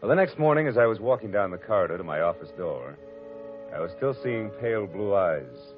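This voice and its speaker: Wry detective narrator, very low voice, 1940s radio play, dull old broadcast. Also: male voice, very animated, a little fast, over music. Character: hard-boiled male private detective narrator. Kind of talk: 1940s radio drama acting.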